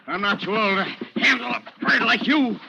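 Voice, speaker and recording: A man's voice: sits high, lively intonation, normal speed, creaky, heavy background noise. An actor playing a tough, dogged older policeman, blunt and unflappable, in 1940s radio drama style. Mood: taunting. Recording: old 1940s radio broadcast.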